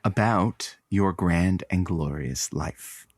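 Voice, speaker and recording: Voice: low-pitched, takes it slowly, dry recording, studio quality, heavily acted. An actor playing a sardonic, combative co-host who needles a partner with wry, challenging banter. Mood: sly, probing. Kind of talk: comedic audio drama banter.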